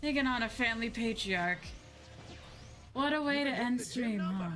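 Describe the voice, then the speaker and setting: A woman streams a video game, casually reacting and thinking aloud. Her pitch moves around a lot, and game music plays clearly behind her voice. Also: expressive, moderate pace, a bit bright. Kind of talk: casual live game commentary, reading on-screen names. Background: video game music and dialogue.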